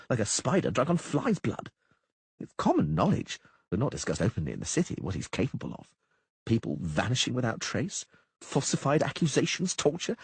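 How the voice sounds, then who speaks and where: A man's voice: breathy edge, dry recording, exaggerated voice. A man voicing a frightened young male troubadour who whines and panics. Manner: whining, shrill and panicky.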